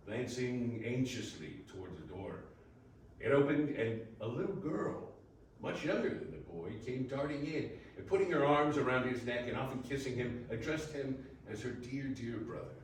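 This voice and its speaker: A man's voice: medium pitch, expressive, normal speed. Echoey male narrator reading a classic story. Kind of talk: scripted storybook narration in readers theater. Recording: very echoey distant room, faint noise.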